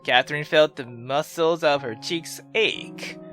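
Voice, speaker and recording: A woman hosting a gaming video, reading a visual novel aloud with expressive, casual, sweary commentary. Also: deep voice, unhurried. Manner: expressive, swearing, exclamatory asides. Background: faint game music.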